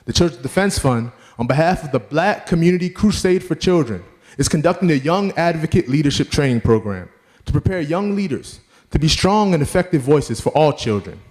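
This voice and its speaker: A man's voice: a little high, lively intonation, a little fast, a little mellow. A man, a leadership trainer, giving an earnest prepared address that reads out statistics and lists. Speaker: youth leadership trainer and presenter.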